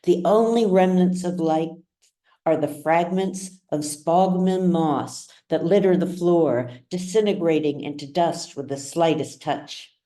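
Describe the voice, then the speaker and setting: Low-voiced woman narrating a story, unhurried. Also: dark tone. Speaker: author reading their own novel. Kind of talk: scripted audiobook narration.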